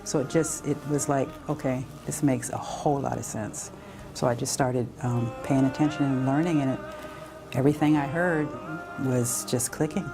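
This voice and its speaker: An American woman shares a personal story in a casual, conversational way. Music plays clearly behind her voice throughout. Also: extremely low pitch, steady tone, normal speed. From United States, raised on military bases. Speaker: interviewee telling a conversion story.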